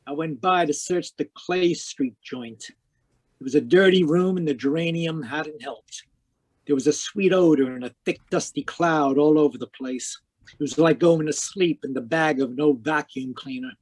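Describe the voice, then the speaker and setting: A hardboiled private eye from an old radio mystery, acted by a man, pitched a little high for the type. He talks in terse, cynical slang and wisecracks like a man who trusts nobody. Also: lightly acted. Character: tough, wisecracking hardboiled private investigator narrating his case. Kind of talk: 1940s radio noir acting.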